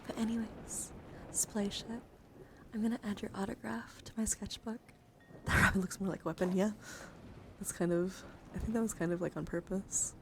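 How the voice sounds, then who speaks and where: A young woman live streaming casual ASMR chat in a soft voice that often slips into whispers, rambling and easily excited, close to the microphone. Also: medium pitch, normal speed, creaky, noisy background.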